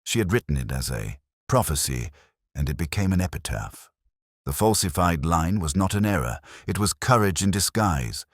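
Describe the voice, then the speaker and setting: A man playing a melancholy older English police inspector, delivering a measured, solemn, literary monologue in a radio drama. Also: extremely low pitch, husky, no echo, clean studio sound, heavily acted. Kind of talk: scripted first-person radio drama monologue.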